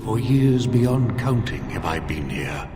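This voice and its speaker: Solemn, echoing ancient guardian. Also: male voice, fairly low pitch, big pitch swings, music in the background. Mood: solemn welcome. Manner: solemn, stately, measured. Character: solemn, ageless guardian spirit of a holy relic. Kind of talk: formal video game voice acting. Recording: echoey, with clear background ambient noise.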